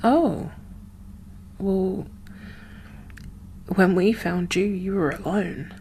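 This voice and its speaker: A woman speaking softly and often whispering in an ASMR roleplay, gentle and halting, as a guarded but caring apocalypse survivor. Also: medium pitch, slight background noise. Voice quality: soft, breathy, often whispered. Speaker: ASMR performer playing a role. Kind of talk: soft-spoken ASMR roleplay.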